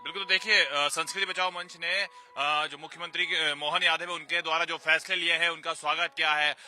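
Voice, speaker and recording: Guy doing a live street news report, highish voice, really sharp and forceful. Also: fairly even intonation, brisk, slight background noise.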